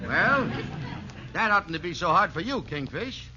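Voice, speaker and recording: Wry, gossipy pal, piercingly bright and animated, folksy radio-comedy delivery. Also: male voice, high-pitched, a little slow, exaggerated voice. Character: chatty, wry male friend, gossipy sidekick. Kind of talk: 1940s radio sitcom acting. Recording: dull, noisy old radio recording.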